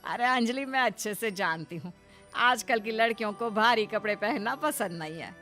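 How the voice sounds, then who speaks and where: A woman playing a doting middle-aged mother-in-law in an animated moral story, coaxing and reassuring. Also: on the high side, moderate pace, soft background music.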